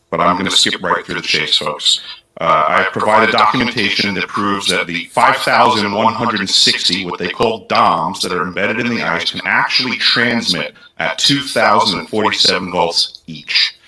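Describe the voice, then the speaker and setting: A man in a played clip, giving a briefing and rapidly listing points in a matter-of-fact way. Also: fairly low pitch, sharp tone, low-quality recording. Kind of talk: briefing on research.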